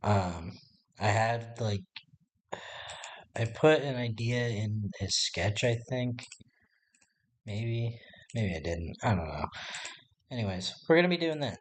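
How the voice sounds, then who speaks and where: A man with a raspy voice, chatting casually and hesitantly to his live-stream viewers while he draws. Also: lowish voice, expressive, slow, long pauses. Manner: rambling and hesitant, talking to himself while working. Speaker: artist livestreaming his drawing. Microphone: desk microphone.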